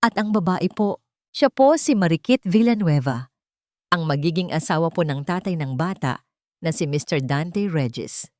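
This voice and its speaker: A woman's voice: fairly low pitch, lively intonation, moderate pace, darkish tone, dry recording, studio quality, close to an everyday voice. A woman voicing a frightened but brave, polite housemaid in a dramatic story, pleading for a child. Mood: steadier, resolute. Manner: trembling but resolute, polite.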